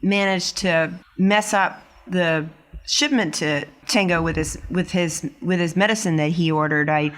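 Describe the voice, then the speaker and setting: A woman's voice: fairly low pitch, fairly even intonation, unhurried. A woman speaking casually in character as a player in a tabletop game.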